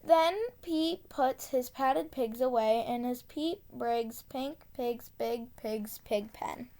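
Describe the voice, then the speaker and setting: A woman reading a children's tongue-twister book aloud, enunciating carefully and deliberately. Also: fairly high pitch, warm tone. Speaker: storybook reader.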